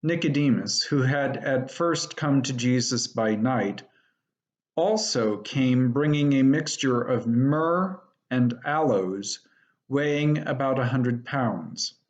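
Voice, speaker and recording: Male lay reader, mid-pitched, very deliberate and slow, solemn scripture delivery. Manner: measured, deliberate.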